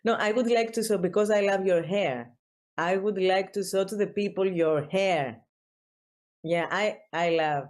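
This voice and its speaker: A woman hosting a podcast interview over a video call, speaking simply and warmly with non-native phrasing. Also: mid-range voice, measured pace. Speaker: podcast host.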